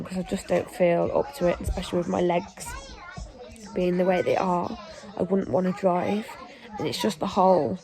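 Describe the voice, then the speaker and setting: A mother records a video diary at home, her gravelly voice worn croaky by illness. She speaks in a tired, plain way with frequent ums, and household clatter and the noise of people are heard behind her. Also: on the low side, rough recording. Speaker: family vlogger. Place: family home.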